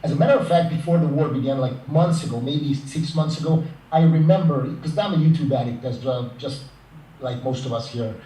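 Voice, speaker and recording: A man from Eastern Hungary with a Hungarian accent, co-hosting an animated, joking casual chat over a video call on his laptop mic. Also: a little high, a little fast. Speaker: co-host. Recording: roomy, distant, faint background hiss.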